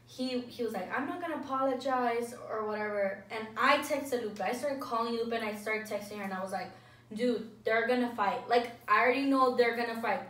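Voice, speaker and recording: A woman's voice: a little high, fairly quick, fairly bright tone, recorded from a distance. A young woman vlogger telling a story casually, rambling and saying "like" constantly. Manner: rambling, fills speech with "like". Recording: echoey room, voice off-mic.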